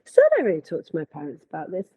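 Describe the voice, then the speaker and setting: A woman's voice: sits low, huge pitch swings, rapid-fire, warm tone, slightly rough, no echo. A woman from Manchester, a parent guest reflecting on her upbringing in a podcast discussion. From Manchester, England.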